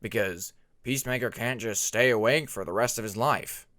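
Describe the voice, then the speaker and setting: A man's voice: mid-range voice, moderate pace, a bit bright, dry recording, studio quality, lightly acted. A man voicing a blunt, protective male dragon in a firm, plain-spoken way for a narrated fantasy story. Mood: concerned.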